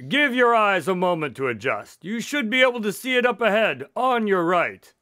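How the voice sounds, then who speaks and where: Bright, higher-voiced affable doctor sidekick. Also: male voice, sing-song, normal speed, dry recording, clean studio sound, heavily acted. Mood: reassuring. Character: affable doctor sidekick to a detective, narrating cases. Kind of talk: radio drama acting and storytelling.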